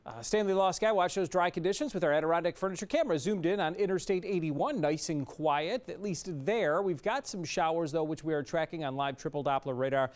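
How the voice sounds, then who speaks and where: A man's voice: fairly high pitch, brisk, hardly any pauses. A man with an American accent giving an off-the-cuff weather forecast as a TV meteorologist.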